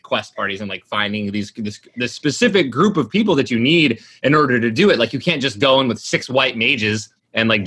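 A man's voice: mid-range voice, bright, forceful tone, breathy edge. A man in his late twenties chatting casually, rambling with lots of fillers and restarts. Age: 20s. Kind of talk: casual chat.

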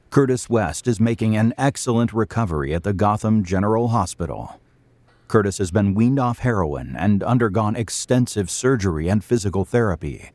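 A man narrating a scripted audio drama as a storyteller, in a deliberate, literary manner. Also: fairly low pitch, a little mellow, no room sound.

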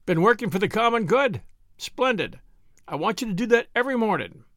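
A man's voice: high voice, expressive, fairly bright tone, no echo, clean studio sound, voice pushed up. A man voicing a teasing, exuberant male friend in an audiobook, needling in a mock-grandiose way. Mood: playful, teasing. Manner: mock-grandiose, needling, rapid-fire exclamations. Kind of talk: audiobook character dialogue.

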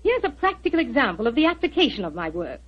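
A woman's voice: on the high side, very animated, fast talker, breathy edge. An actress playing a self-assured, formal woman inventor who speaks with theatrical precision in a 1940s radio drama. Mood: gracious, assured. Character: Doctor Paradise, brilliant, self-assured woman inventor and showwoman. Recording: dull 1940s radio recording.

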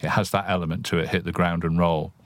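Deep-voiced man, thoughtful poet interviewee. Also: level tone, fast, dry recording, clean studio sound. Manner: thoughtful, analytical. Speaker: guest poet. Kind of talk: reflective interview talk.

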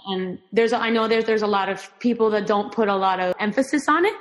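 A woman's voice: medium pitch, a little fast. Miami-raised woman guest in reverberant room. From Miami, Florida, US. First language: Spanish. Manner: thoughtful, restarts often, warm laughs. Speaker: guest, performing artist. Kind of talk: casual interview talk.

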